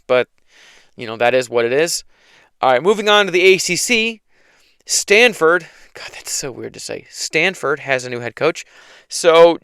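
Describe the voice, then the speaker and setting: A man hosting a casual solo sports talk show, hoarse from yelling, opinionated and rambling with frequent restarts. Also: fairly high pitch, dry recording, studio quality. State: hoarse, losing his voice. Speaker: podcast host.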